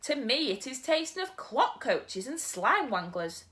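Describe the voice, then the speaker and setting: A huge, kindly old giant with muddled, mangled grammar, booming out his words in a lively, swooping voice, as a woman reads him aloud. He sounds pitched up and slowed, with big exclamations, like a character from a storybook. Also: exaggerated voice. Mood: gleeful disgust.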